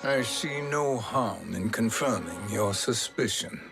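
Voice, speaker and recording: A scheming sorcerer who speaks smoothly and with condescension. His voice is mid-pitched, with orchestral music behind it. He sounds quietly self-satisfied. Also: animated, takes it slowly. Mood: smug. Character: scheming male sorcerer, sly and smug. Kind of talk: video game cutscene acting.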